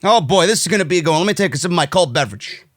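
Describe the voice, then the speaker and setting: A man's voice: sits high, lively intonation, racing, fairly bright tone, dry recording, clean studio sound. A man with a New York accent delivering a brash, profane, indignant rant as an opinionated wrestling commentary host, hammering his points with emphasis. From United States.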